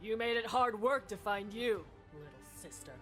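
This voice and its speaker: An actress plays a proud female soldier of an empire, hunting her quarry in a video game. Her delivery is haughty and dramatic, with a taunting menace, over soft background music. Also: mid-range voice, expressive, normal speed, lightly acted. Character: proud imperial female soldier, menacing older-sister hunter.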